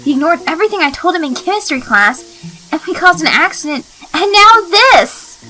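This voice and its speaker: Woman playing a high-voiced flustered teenage schoolgirl. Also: expressive, a little fast, pushed and bright, heavily acted, poor audio. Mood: exasperated. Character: flustered, earnest, self-doubting teenage schoolgirl.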